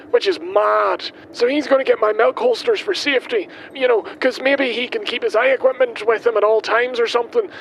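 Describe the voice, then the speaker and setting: An actor playing a kindly, guileless milkman in an audio drama, rambling haltingly as he records his will. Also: extremely high pitch, a bit bright, rough recording. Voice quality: hesitant, halting delivery. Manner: chatty, guileless, wandering off on tangents. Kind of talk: audio drama monologue, spoken as a voice diary. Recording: faint vehicle noise behind.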